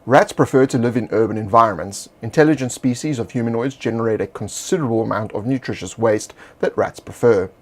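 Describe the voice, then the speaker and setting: Male narrator, mid-pitched, measured explanatory read for game lore. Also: a little mellow. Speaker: game designer presenting a monster supplement.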